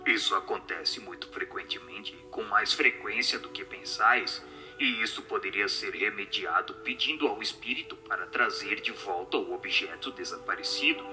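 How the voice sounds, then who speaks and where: A man voicing a solemn spirit in a radio drama, speaking in a measured, grave, didactic way. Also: lowish voice, close to an everyday voice. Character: solemn spirit answering questions from the beyond.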